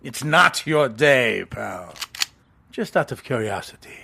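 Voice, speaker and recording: A creaky, forceful hitman for an audio drama. He takes his time and taunts with dry wit, his pitch moving freely while he stays coolly menacing. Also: sing-song, exaggerated voice. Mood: taunting. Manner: deliberate, wry, taunting. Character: unpredictable male international assassin with lucky dice, coolly menacing.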